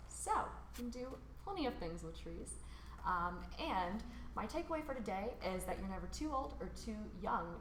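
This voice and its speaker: An American woman hosting a read-along, reading a picture book aloud for children in an expressive, informal way. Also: on the low side. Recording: roomy echo, faint background noise.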